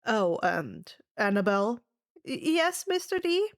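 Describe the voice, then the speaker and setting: A woman's voice: fairly high pitch, very animated, unhurried. A woman voicing a timid, earnest young camper who speaks politely and hesitantly, stammering, in audiobook-style dialogue. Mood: flustered.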